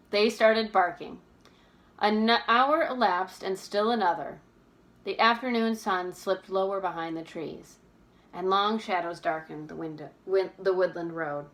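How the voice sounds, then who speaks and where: A woman reading a storybook aloud as narrator, with expressive, careful, dramatic delivery. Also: medium pitch.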